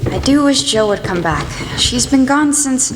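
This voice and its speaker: A woman playing a tender, loving middle-aged mother in a radio play, speaking gently and earnestly. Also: high voice, animated, normal speed, very noisy. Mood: anxious, busy. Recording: reverberant hall, music behind.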